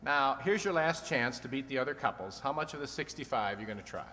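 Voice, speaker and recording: A man's voice: mid-range voice, animated, quick pace, sharp tone. Man playing a wisecracking quiz host, echoey hall. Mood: brisk, wry. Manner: wry, quipping. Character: wisecracking, teasing male quiz show host. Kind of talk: 1940s-50s comedy radio quiz re-enactment. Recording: echoey hall, long reverb.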